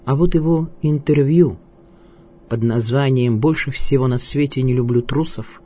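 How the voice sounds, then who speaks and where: A man reading scripted radio documentary narration in a measured, declamatory way. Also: medium pitch, mellow, husky, soft background music.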